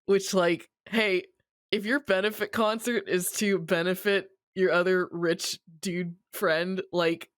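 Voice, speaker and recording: A podcast host giving a casual, slangy and wry recap of a story in an animated way. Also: mid-range voice, slow pace, no room sound, clean studio sound.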